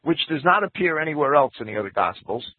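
Husky man lecturing over tinny radio. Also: fast talker, no echo. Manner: lecturing, reads quotes aloud, lively pitch. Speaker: Bible teacher. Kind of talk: scripture commentary talk. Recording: tinny phone-like radio broadcast.

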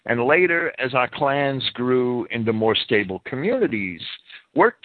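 A man's voice: medium pitch, dry recording. A man in an opinionated radio-style discussion, partly reading prepared commentary, who often stammers and repeats words as he starts a phrase. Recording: band-limited, lo-fi internet radio sound.

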